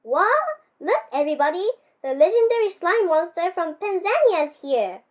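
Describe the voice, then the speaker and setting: A ten-year-old girl voicing a bold, excitable male toy penguin in a storybook, confident and exclaiming. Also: very high-pitched, normal speed, highs cut off. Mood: excited.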